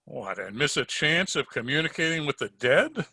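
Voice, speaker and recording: A man's voice: fairly high pitch, very animated, normal speed, creaky, bright, forceful tone, husky, dry recording, full character voice. A man playing a dry, wisecracking private detective in an old-time radio drama, narrating his case in hardboiled style. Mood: wry. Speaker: amateur radio drama player.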